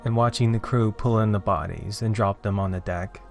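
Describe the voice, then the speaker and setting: Low-voiced man narrating horror over music. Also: steady tone, moderate pace, creaky, darkish tone. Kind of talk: dramatic scripted horror storytelling. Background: background music.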